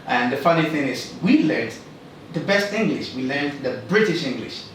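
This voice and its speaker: A man's voice: mid-range voice, expressive, normal speed. A man from Ghana hosting a casual interview, asking questions with short, teasing remarks. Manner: asks questions, gives short backchannels, teasing. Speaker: interviewer, host. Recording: echoey room, distant mic, faint noise.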